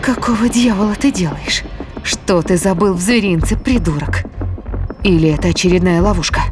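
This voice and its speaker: A low-pitched female voice from an actress plays a combative woman aboard a spaceship in an audio drama. She is blunt and tense, firing out exclamations and questions. Music runs clearly behind her. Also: normal speed, lightly acted. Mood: angry, suspicious.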